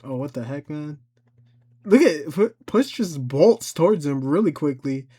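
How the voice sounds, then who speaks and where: A man giving a casual software tutorial, rambling and thinking aloud as he works through each step. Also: on the high side, animated, a little slow, husky. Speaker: tutorial presenter.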